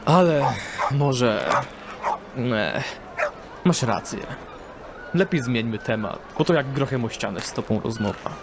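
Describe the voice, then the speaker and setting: An actor playing a bald, mocking, pipe-smoking street idler in an audio drama, with sneering colloquial talk. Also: male voice, medium pitch, expressive, a little slow, background noise. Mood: scornful.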